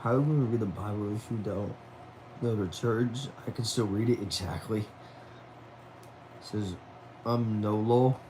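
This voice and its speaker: A man's voice: fairly low pitch, fairly even intonation, a little slow, pauses often, warm tone, a little hiss or hum. A man of about twenty chatting casually on a livestream at home, reading viewer messages aloud in a laid-back, talkative way, dropping "y'all" and "man". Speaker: livestream host.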